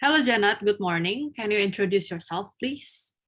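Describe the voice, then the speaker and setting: A young woman in a mock English speaking test, answering and then asking questions, often pausing with filler sounds. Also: mid-range voice, expressive, a bit bright. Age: young adult. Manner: hesitant, frequent filler sounds. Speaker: test candidate, then examiner.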